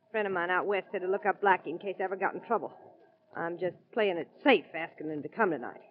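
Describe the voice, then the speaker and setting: A woman's voice: on the low side, fast talker, natural voice. An actress playing a tough, suspicious woman rodeo rider in an old-time radio detective drama. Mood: sharp, defiant. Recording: muffled, noisy old radio recording.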